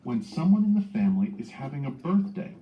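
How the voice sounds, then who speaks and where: Male narrator for children's read-aloud, lively varied intonation, muffled lo-fi sound in a roomy space. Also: on the high side, brisk. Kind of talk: scripted children's storybook narration. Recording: dull lo-fi playback in echoey room.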